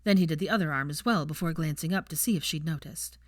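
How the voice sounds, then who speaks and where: A woman's voice: deep voice, fast, no echo, studio quality. A woman reading fiction aloud as scripted audiobook-style narration.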